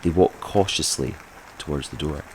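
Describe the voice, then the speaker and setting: A man's voice: very deep voice, moderate pace, a little mellow, slight background noise, rough recording. A man reading scripted narration for an audio drama, describing the scene as narrator.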